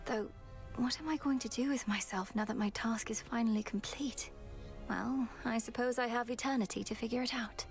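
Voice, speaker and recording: A woman voicing an earnest young goddess princess in a game, speaking in a formal, measured way. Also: on the high side, steady tone, over loud music, natural voice. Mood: earnest, reflective. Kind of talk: scripted game voice acting.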